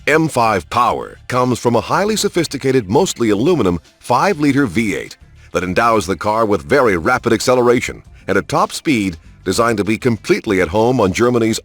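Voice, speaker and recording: A man with a husky voice delivers polished promotional narration for a car showcase. His pitch moves in broad, animated sweeps, and each phrase is given an announcer's emphasis. Music plays behind him. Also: no room sound. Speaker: narrator of car showcase videos in a racing game.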